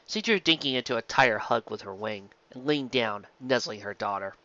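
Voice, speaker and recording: A woman's voice: rumbling low, expressive, a little fast, sharp tone, no echo. A woman narrating a story as a dramatic reading, on a dull, slightly muffled recording.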